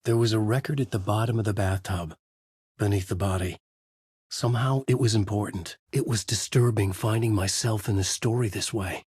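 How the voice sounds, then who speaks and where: An actor playing a haunted, desperate horror writer, narrating in a brooding, intense noir style for a video game. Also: male voice, lowish voice, moderate pace, a little mellow, slightly rough, no echo, clean studio sound. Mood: brooding, grave. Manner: brooding, intense, terse delivery. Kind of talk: dramatic first-person noir narration.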